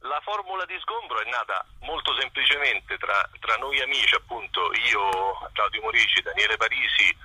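A man being interviewed as a performer, talking casually and reflectively, often pausing to search for his words. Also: mid-range voice, animated, pushed and bright, slightly rough, no echo, poor audio. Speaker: interview guest, singer-songwriter and performer.

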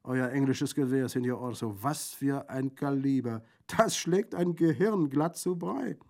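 A man's voice: medium pitch, a little slow, darkish tone, pitched above the performer's own voice, natural voice. A man voicing a boisterous Corsican bandit in an audiobook, jovial and exclamatory, with a gleefully mocking, gruesome humour. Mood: gleefully macabre. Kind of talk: audiobook character acting.

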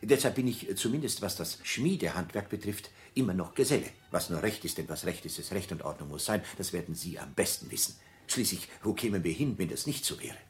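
A man's voice: deep voice, animated, fairly quick. An actor playing an earnest, fussily proper tradesman who rambles officiously and justifies himself, in an absurdist radio drama. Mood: earnest, pleading. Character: earnest, long-winded tradesman job petitioner, fussily proper.

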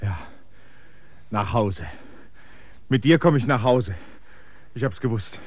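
Ein Schauspieler spielt in einem Hörspiel einen erschöpften, flehenden Landvermesser, der drängend und bittend spricht. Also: male voice, mid-range voice, animated, takes it slowly, no room sound. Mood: pleading. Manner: pleading, clinging, insistent. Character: weary stranded male land surveyor, pleading and insistent. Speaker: land surveyor character. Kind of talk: radio play acting.

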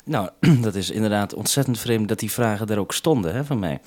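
A man's voice: lowish voice, expressive, a little fast, darkish tone. A man talking unscripted as a radio host over a two-way link, businesslike and explanatory with some hesitation.

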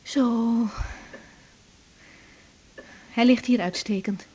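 A woman's voice: a little high, steady tone, a little slow, mellow, slightly rough. An actress playing a young woman doctor in a radio drama, firm, practical and reassuring.

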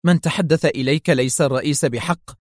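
A man's voice: fairly high pitch, fast talker, dry recording, studio quality, lightly acted. A man voicing an insistent, suspicious general in a story, emphatic and urgent. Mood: excited. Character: excitable, insistent male general, suspicious and forceful. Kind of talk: dramatised audio story dialogue.

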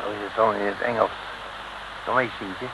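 An actor playing a cocky, wisecracking streetwise detective, in an old radio serial style. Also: male voice, lowish voice, fairly even intonation, normal speed, vocal fry. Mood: breezy, joking. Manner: breezy, slangy, wisecracking bravado. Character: cocky, streetwise male detective from Rotterdam, joking braggart. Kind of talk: 1950s-60s Dutch radio serial acting. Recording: noisy old radio recording.

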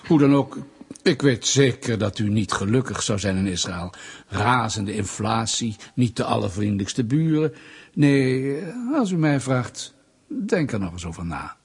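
An actor playing a patronizing, sardonic Soviet official in a radio drama, mock-polite and bullying. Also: male voice, fairly low pitch, expressive, measured pace, gravelly, slightly rough, full character voice. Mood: smug, veiled threat. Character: menacing, condescending male Soviet state official, bureaucratic bully.

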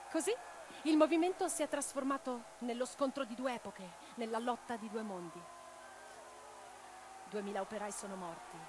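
A woman playing a passionate, idealistic revolutionary in a radio drama, declamatory and expressive. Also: on the high side, background noise, lightly acted. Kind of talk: theatrical radio drama monologue and dialogue. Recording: radio play with music underneath. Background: music.

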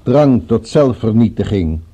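A cultured, dangerous gentleman villain of radio drama. His voice is very soft-edged and dark, slightly husky, with musical, lively intonation. He speaks formally and threatens with perfect politeness. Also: lowish voice, measured pace. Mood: polite, musing threat. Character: courteous, menacing male villain with a revolver, cultured.

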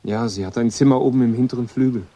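A young male actor playing a troubled, guilt-ridden teenage boy in a German radio drama, speaking haltingly. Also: medium pitch, warm tone, natural voice. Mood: guarded. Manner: halting, repeating himself.

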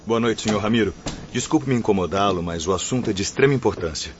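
Low male voice, Brazilian accent, acted deferential gate soldier, formal, radio drama, dull recording, faint ambience. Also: quick pace, noisy background, close to an everyday voice. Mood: urgent, deferential. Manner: formal, respectful. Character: dutiful, deferential male soldier guarding spirit gates.

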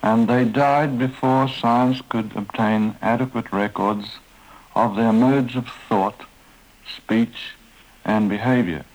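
A man answering interview questions as an expert, speaking carefully and pausing on fillers. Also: mid-range voice, fairly even intonation, a little mellow, low-quality recording. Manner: slow, careful, halting with fillers. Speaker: museum expert being interviewed. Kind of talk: archival radio interview.

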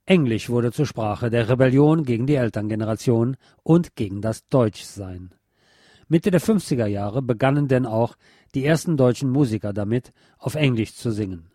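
A man with a soft, mellow voice narrates a scripted music history feature as a radio host. The recording is very dry and close, with no room sound around him. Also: medium pitch, normal speed, dry recording.

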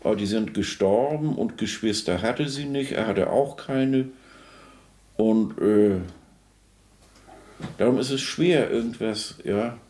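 A man giving spontaneous, searching commentary on a composer, halting and often checking in with a questioning tag. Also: on the low side, mellow. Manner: halting, searching, tags remarks with a questioning particle. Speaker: commentator on a composer's life and work. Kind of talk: spontaneous musing commentary in a radio play.

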